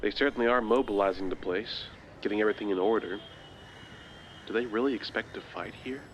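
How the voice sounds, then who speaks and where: Man playing a low-voiced, weary, formal survivor. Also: a little fast, a little hiss or hum, natural voice. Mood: wary, skeptical. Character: weary, doubtful, polite civilian survivor of alien invasion. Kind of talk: audio drama acting.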